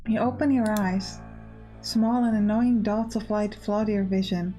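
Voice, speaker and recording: A woman's voice: mid-range voice, steady tone, a little slow, mellow, faint music behind. A woman hosting a gaming let's play, chatting and reading game text aloud. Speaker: gaming channel host.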